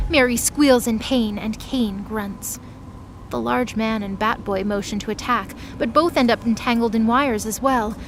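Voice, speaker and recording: A woman's voice: fairly high pitch, a little hiss or hum. A woman delivering dramatic scripted narration as the narrator of an audio drama.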